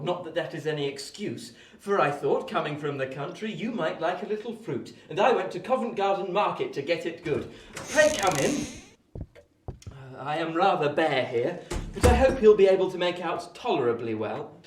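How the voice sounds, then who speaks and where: An actor as an eager, polite young gentleman in a period radio drama. His voice is on the high side and very lively in pitch, with a touch of fluster. The room rings around him. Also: normal speed, slight background noise. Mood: apologetic, eager. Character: genial, apologetic, well-bred young English gentleman. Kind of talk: radio play acting of a Victorian novel. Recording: echoey room.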